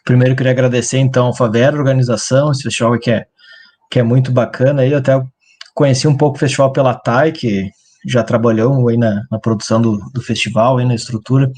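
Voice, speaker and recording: A filmmaker from Porto Alegre with a southern Brazilian accent, speaking over a video call in a thoughtful, hesitant way. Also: male voice, mid-range voice, steady tone, fast, darkish tone. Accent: Rio Grande do Sul Portuguese. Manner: thoughtful, hesitant, many fillers. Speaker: filmmaker guest. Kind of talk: casual video-call interview.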